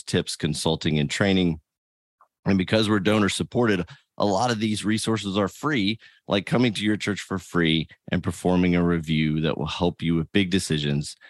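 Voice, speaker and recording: A man's voice: low voice, no room sound, studio quality. A man hosting a podcast, talking in a casual, upbeat way as he introduces his guest. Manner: casual and upbeat, reads ad copy. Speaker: podcast host. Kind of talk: podcast interview.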